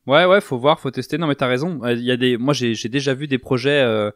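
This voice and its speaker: Man hosting a podcast, hesitant with fillers, dry close sound, middle pitch. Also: quick pace, no room sound. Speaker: podcast host. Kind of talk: interview questions.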